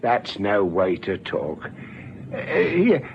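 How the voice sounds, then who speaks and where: An actor in an old radio drama playing a nervous, well-meaning caretaker who stammers hesitantly. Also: male voice, fairly low pitch, sing-song, slow pace, vocal fry, heavily acted. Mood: coaxing, anxious. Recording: muffled old radio recording, faint noise.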